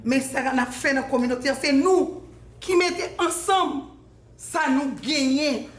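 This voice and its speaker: Fervent high-voiced woman. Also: normal speed. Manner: fervent, rhythmic repetition, mixes French and Creole. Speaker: community speaker. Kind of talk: impassioned community speech. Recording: echoey hall with noise.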